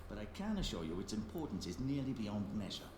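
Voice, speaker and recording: A polished, formal intermediary in a video game. He chooses his words carefully, with a lively, varied pitch. The audio is roomy, with a noisy bed behind him. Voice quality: polished, measured, formal diction. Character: formal, discreet male intermediary.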